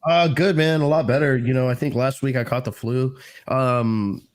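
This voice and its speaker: A man's voice: mid-range voice, fairly even intonation, normal speed. A man in casual podcast chat, a bit stuffy and congested from the flu, rambling with lots of slang and swearing. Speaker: podcast co-host.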